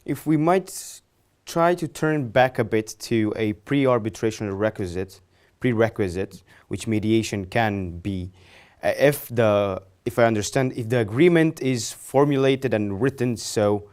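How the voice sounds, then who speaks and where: A man's voice: lowish voice, a little slow, darkish tone. A young man hosting a studio interview, asking long, polite questions with frequent restarts and self-corrections. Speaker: interview host. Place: studio set, indoors.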